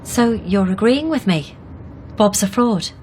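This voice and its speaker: A woman's voice: medium pitch, lively intonation, slow pace, a little mellow, a little hiss or hum. An actress in a naturalistic radio drama playing an outspoken, argumentative younger woman who indignantly defends her partner. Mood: exasperated.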